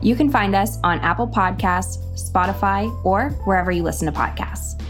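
A woman's voice: mid-range voice, moderate pace, soft background music. A woman co-host reading a scripted podcast promo.

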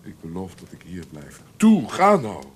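Deep-voiced, anguished man. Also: huge pitch swings, unhurried, full character voice. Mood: bitter, agitated. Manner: emotional, self-pitying, swinging from subdued to agitated. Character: heartbroken, guilt-ridden man, bitter and despairing. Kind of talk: Dutch radio play acting. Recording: hissy old radio play recording.

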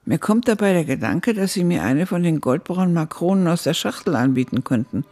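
An actress playing an aged woman who looks back on her youth in a radio drama, narrating in a measured, reflective, husky voice. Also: lowish voice, expressive, mellow. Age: older.

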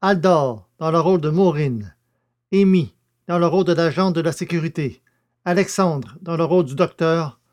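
Slightly high-pitched male narrator. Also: moderate pace, a little mellow. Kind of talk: scripted narration and cast credits.

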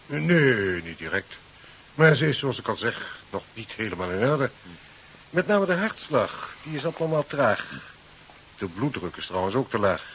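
An actor playing a cautious, formal hospital doctor in a 1970s Dutch radio drama, measured and polite. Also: male voice, medium pitch, sing-song, a bit bright. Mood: cautious, concerned. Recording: dull, hissy 1970s radio recording.

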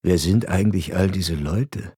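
A man reading a scripted radio narration in a grave, measured storytelling manner. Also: deep voice, dark tone, slightly rough, no echo, studio quality.

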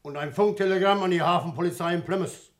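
Man acting a brusque, high-voiced ship's captain. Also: fast, lightly acted. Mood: commanding. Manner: commanding, formal. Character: brusque, callous ship's captain with showman's authority. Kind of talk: 1960s German radio crime drama acting.

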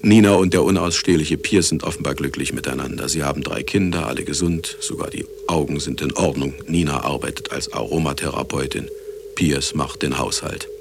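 An actor playing a jaded older male scientist in a radio play, narrating in a wry, regretful way with a gravelly voice. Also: extremely low pitch, brisk, background noise. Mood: wry, resigned. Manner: wry, dismissive, reflective. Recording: studio radio play with music behind.

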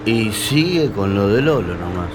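Impatient young Argentine actor. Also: male voice, fairly low pitch, animated, unhurried, music in the background. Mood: grumbling. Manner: insistent, complaining. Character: young, impatient, sulky actor in touring troupe. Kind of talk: radio drama acting.

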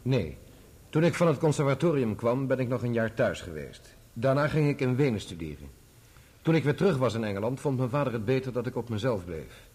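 An earnest musician testifying in a courtroom radio play. His voice is mid-range and slightly raspy, formal and restrained, with defensive dignity. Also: medium pitch, lively intonation, a little fast, a little mellow.

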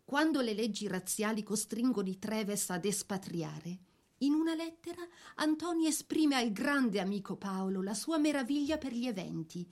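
A woman narrating a scripted biography for a radio drama in a measured, literary storytelling manner. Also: fairly high pitch, expressive.

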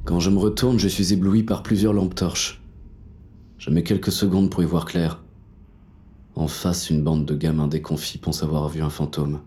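A man narrating audio fiction as a battered, exhausted, haunted male survivor, in a very deep, sometimes breathy voice with slow, halting, dramatic fragments. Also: dark tone.

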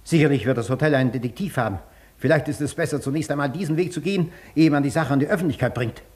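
An actor in a German radio play, playing an agitated, imperious gentleman doctor with a formal, demanding, impatient manner. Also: a little high, fast, lightly acted. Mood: stern, urgent.

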